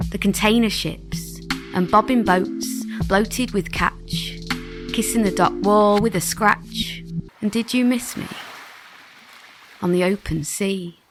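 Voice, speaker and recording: A woman reciting a poem as a guest reader, in a measured, rhythmic storytelling delivery. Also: medium pitch. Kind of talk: poetry recital. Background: music.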